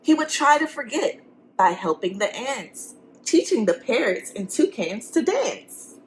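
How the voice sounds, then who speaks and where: A woman's voice: on the high side, big pitch swings, a little slow, slight background noise, poor audio. A woman teacher reading a storybook aloud to young students, expressively, with simple encouraging questions and asides for the children.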